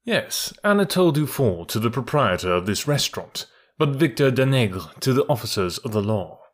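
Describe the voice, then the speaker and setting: A middle-aged ex-inspector who talks dry and hard, every word firm and exact, as a male narrator reads him. He sounds calm and sure of his grip. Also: medium pitch, moderate pace. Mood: cool.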